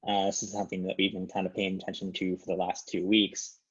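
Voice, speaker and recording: On a dull, lo-fi conference call, a man gives an informal market briefing. His voice is very deep and flat, and somewhat husky as he talks through the figures. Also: fast talker. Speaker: investment firm presenter.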